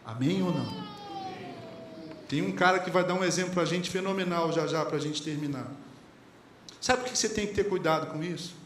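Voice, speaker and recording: Brazilian pastor guy preaching, kinda high voice, echoey church hall, faint room hum behind. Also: normal speed, pauses often, fairly bright tone. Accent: Brazilian Portuguese. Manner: conversational preaching, quotes lyrics and sayings, asks the congregation questions. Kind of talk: sermon.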